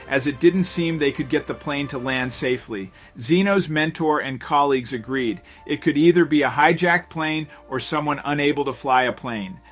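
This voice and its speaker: A man with a slightly high voice reads a scripted story as a dramatic narrator. His delivery is measured and builds suspense, with faint music playing underneath. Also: a bit bright. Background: background music.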